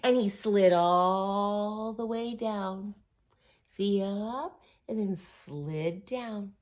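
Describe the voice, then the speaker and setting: A woman reading a picture book aloud to young children, with simple, encouraging phrasing, expressive emphasis and little asides and questions. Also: mid-range voice, extremely slow.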